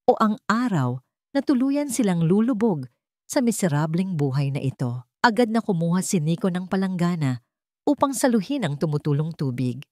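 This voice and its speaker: A woman narrates a dramatic story in a slightly low voice. Her pitch moves widely and expressively through each sentence. The recording is dry, with hardly any room sound. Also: sing-song, moderate pace, a little mellow, dry recording.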